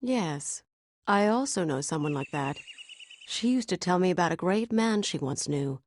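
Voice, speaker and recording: An actress playing a young elf princess in a video game, composed and gracious, with measured, polite speech. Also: female voice, on the low side, animated, darkish tone, slight background noise, lightly acted. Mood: gentle, respectful. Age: young adult.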